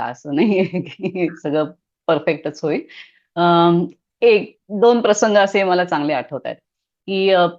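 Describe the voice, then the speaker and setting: A middle-aged woman from Maharashtra talking as an interview guest, telling anecdotes conversationally in an animated manner. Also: fairly low pitch, lo-fi sound.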